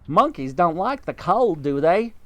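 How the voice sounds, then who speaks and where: A man voicing a kindly, chatty bird who talks in a bright, reassuring way. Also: high voice, sing-song, a little slow, no room sound, voice pushed up, heavily acted.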